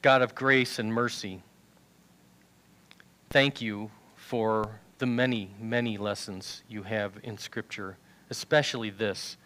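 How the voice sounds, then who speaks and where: Older male pastor, bright forward voice, headset mic in a church, earnest sermon delivery. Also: fairly low pitch. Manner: earnest preaching, reads scripture aloud from a tablet. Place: large church sanctuary with choir risers.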